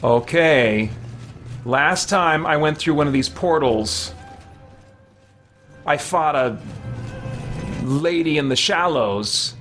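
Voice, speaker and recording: A man's voice: a little high, slow pace, long pauses, fairly bright tone. A man in his forties doing casual livestream gameplay commentary, playfully talking to himself, his characters and viewers. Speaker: game streamer and host. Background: game music.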